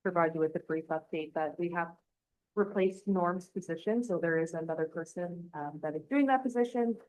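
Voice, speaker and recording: A woman's voice: fairly low pitch, fairly quick, a little mellow, poor audio. A woman from township staff giving a brief, businesslike update to council.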